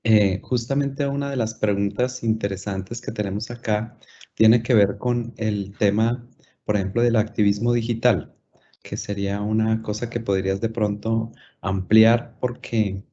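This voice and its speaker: A low-voiced man moderates an online talk, passing audience questions to the speaker and rephrasing them at length. His tone is dark and mellow, and the call audio is dull and lo-fi. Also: steady tone, unhurried, slight background noise. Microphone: video call.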